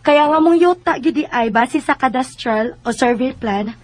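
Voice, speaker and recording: A Filipino actress with a Visayan accent playing a haughty, unyielding rich landowner from next door in a radio drama. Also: female voice, sits high, normal speed, no room sound. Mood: self-assured. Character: brazen, defiant well-off woman landowner from next door. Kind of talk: Bisaya radio drama acting.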